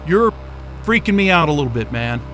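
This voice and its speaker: A man acts out an irritable male astrophysicist stuck at an isolated station. His voice is very bright and sharp and sits somewhat high. He is sarcastic and swears freely, in audio drama style. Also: big pitch swings, brisk, soft background music. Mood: rattled, irritated.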